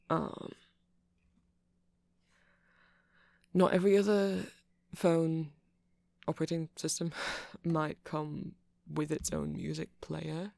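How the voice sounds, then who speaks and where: A man playing a nerdy, friendly vampire shopkeeper in an ASMR roleplay, soft-spoken and gravelly, rambling hesitantly as he explains tech. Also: fairly high pitch, no echo. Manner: rambling, hesitant, self-conscious geeky explaining.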